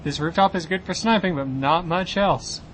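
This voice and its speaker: A man's voice: sits high, moderate pace, a bit bright, a little hiss or hum, poor audio. A man playing an exasperated brother on lookout, bantering conversationally in an audio comedy drama. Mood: defensive. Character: exasperated magic-using brother acting as lookout.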